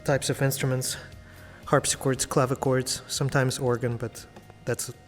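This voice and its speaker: A man's voice: mid-range voice, level tone, moderate pace, faint music behind. Thoughtful man, guest pianist, reverberant room. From Latvia, raised in Ukraine. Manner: thoughtful, with frequent fillers and restarts. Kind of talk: casual interview chat.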